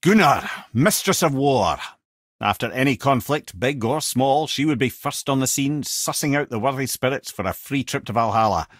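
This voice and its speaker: A man's voice: medium pitch, animated, moderate pace, husky, no room sound, studio quality. A man voicing a wise, witty old talking head in a video game, with a Scottish accent and an eloquent, wry storytelling manner. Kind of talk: game character dialogue and storytelling.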